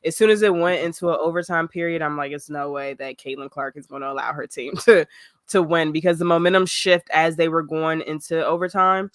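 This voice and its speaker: A woman's voice: low-pitched, a little fast, no echo, clean studio sound. A woman with a cold, chatting casually about basketball on a podcast and laughing easily. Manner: laughs easily, relaxed and conversational. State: sick with a cold, voice rough. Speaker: podcast co-host.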